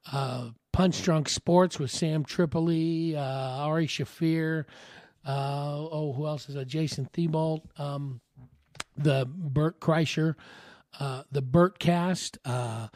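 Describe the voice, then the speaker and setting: Man, hoarse, rambling podcast host, slightly high voice, dry close sound. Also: darkish tone, no echo. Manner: rambling, with frequent uh fillers and restarts. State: hoarse, recovering from laryngitis. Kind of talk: casual solo podcast monologue.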